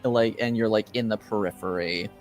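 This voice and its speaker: A man speaking as game master, improvising descriptions for a tabletop game in a hesitant, halting way. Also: on the low side, steady tone, soft background music.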